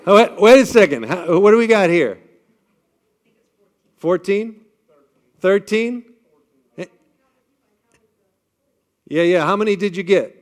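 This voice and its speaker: An energetic male speaker with a big projected voice that sits a bit high for a man. He rallies a live audience on a microphone with upbeat, chatty energy and keeps checking that they are with him. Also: slow, pauses often. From Midwestern United States. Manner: energetic, upbeat crowd-rallying with frequent "all right?" checks. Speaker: event speaker leading the group. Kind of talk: live talk leading an audience icebreaker. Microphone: amplified on a microphone.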